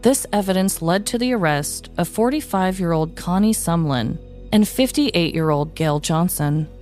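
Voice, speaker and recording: A woman's voice: on the low side, normal speed, darkish tone. A woman hosting a podcast, narrating a scripted story in a descriptive, mock-dramatic way. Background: music.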